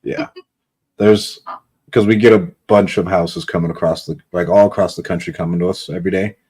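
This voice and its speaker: A man's voice: sits low, steady tone, brisk, dark tone, breathy edge. A man from California, a real estate investor, chatting casually on a phone call.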